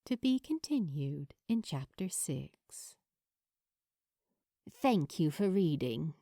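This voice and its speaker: A woman reading a story aloud as an expressive audiobook narrator, with dramatic, weighted pauses. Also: sits low, dry recording. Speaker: fan fiction narrator.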